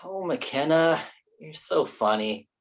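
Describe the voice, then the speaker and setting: Man acting a whiny, dramatic actor, swooping pitch. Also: animated, takes it slowly. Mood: flirty, teasing. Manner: whiny, theatrical, pretentious. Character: dramatic, flirty, self-pitying actor in his mid-thirties. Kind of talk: staged play reading.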